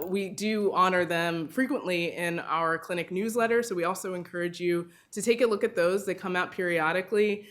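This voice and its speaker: A woman's voice: lowish voice, a bit bright. A woman hosting an event, giving formal prepared opening remarks with occasional uhs. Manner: formal, announcing, with some uhs. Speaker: event host.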